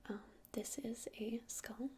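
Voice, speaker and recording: A woman playing a gentle crystal-shop witch in an ASMR roleplay, whispering breathily and explaining things unhurriedly with hesitant pauses. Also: a little high, steady tone, a little hiss or hum. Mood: soothing, earnest. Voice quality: breathy whisper with creaky edges.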